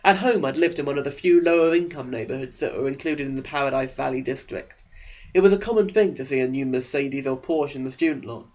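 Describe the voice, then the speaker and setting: A man's voice: medium pitch, fast talker, darkish tone, highs cut off. A man narrating a mock audiobook with a sarcastic, exaggerated delivery.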